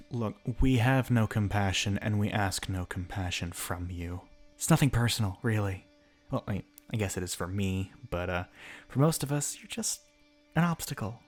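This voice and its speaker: A man's voice: fairly low pitch, lively intonation, normal speed, soft background music. A man playing a bitter, mocking villain in an ASMR roleplay monologue, speaking theatrically with sardonic asides and often dropping toward a whisper. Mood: cold, mocking. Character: bitter, mocking male villain revolutionary monologuing at a fallen hero. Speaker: voice actor in an audio roleplay.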